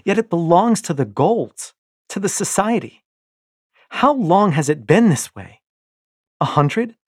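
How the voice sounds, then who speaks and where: A man voicing a passionate young rebel wife, speaking urgently and pleadingly with a breathy tone. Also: high-pitched, very animated, unhurried, dry recording, clean studio sound, voice pushed up, heavily acted. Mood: impassioned.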